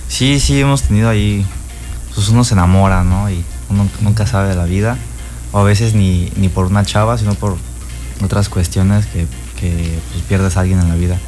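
Deep-voiced young musician, loose and rambling, over music. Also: male voice, fairly even intonation, moderate pace, darkish tone. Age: 20s. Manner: rambling, filler-heavy. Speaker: band member. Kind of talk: interview answers.

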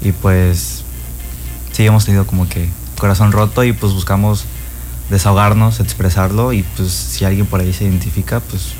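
A man's voice: sits low, fairly even intonation, moderate pace, darkish tone, slight background noise. A man of twenty, a band member giving rambling answers full of fillers. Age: 20s. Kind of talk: interview answers.

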